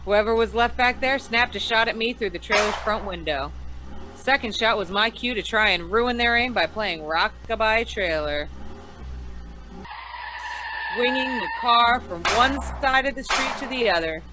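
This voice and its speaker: A woman's voice: fairly high pitch, unhurried, background noise, lightly acted. A woman playing a wry, hard-boiled insurance investigator, narrating an old-time radio noir in slangy style. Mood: tense, punchy.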